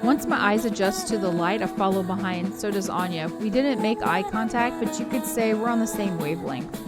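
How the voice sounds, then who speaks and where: A woman's voice: medium pitch, a little fast. A woman co-hosting a game playthrough, reading visual novel narration aloud and adding casual commentary. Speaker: let's play co-host, narrator. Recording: music playing behind the voice. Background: game soundtrack music.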